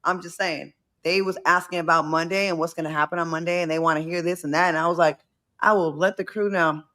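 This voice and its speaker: A woman's voice: lowish voice, steady tone, quick pace, pushed and bright. A woman with a New England accent, chatting casually and laughing at herself as a co-host. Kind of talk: casual chat.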